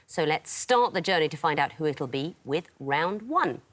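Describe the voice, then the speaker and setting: A woman's voice: sits low, animated, moderate pace. Arch, teasing woman quiz host. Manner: arch, teasing, crisp delivery. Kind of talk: scripted quiz hosting with dry teasing. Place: television studio.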